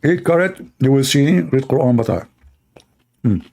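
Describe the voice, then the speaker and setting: A man hosting a livestream debate in a mellow, dark-toned voice at an ordinary middle pitch. He rambles sarcastically and mocks, stringing thoughts together with fillers. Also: expressive, moderate pace, slightly rough. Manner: mocking, rambling, full of fillers.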